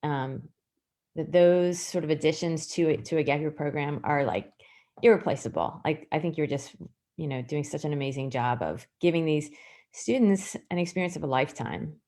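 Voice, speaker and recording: Host, woman with a lowish voice, eager, filler-heavy, on a video call. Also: moderate pace, mellow. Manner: enthusiastic, with many fillers. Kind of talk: livestream interview hosting.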